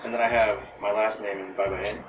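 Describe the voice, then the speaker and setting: A man's voice: on the low side, level tone, fairly quick, dull audio. A man speaking casually in a personal interview, rambling with frequent "right?" and fillers. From United States. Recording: distant mic in noisy echoey room.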